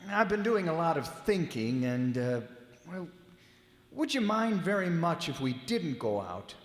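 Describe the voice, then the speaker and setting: A man's voice: a little high, sing-song, measured pace. A man playing a fussy, impatient middle-aged father in a live radio play. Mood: hesitant. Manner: grumbling, impatient, fretful. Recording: echoey hall with long reverb.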